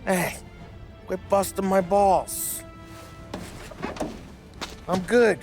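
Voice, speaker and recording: An actor playing a brash, scheming, foul-mouthed American gangster in a period crime video game cutscene. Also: male voice, high voice, very slow, a little mellow, faint music behind, full character voice. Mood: defensive, irritated. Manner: brash, slangy, wisecracking.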